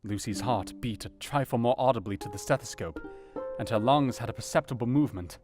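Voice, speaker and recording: An actor playing an earnest doctor, narrating a dramatised audiobook in a measured, formal and dramatic way. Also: male voice, medium pitch, over music, lightly acted. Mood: grave, measured. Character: earnest male doctor recounting a grim night.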